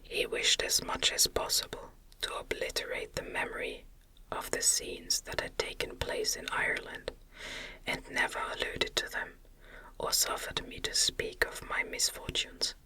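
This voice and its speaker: A woman reading a gothic novel aloud as ASMR in a gentle, soothing, breathy whisper. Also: unhurried, low-quality recording. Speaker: audiobook reader.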